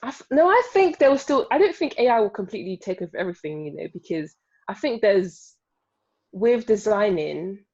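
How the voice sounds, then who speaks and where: A woman's voice: mid-range voice, lively intonation, brisk, darkish tone, breathy edge, lo-fi sound. A woman in her early twenties, a UI developer guest, chatting casually on a podcast and laughing often. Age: 20s.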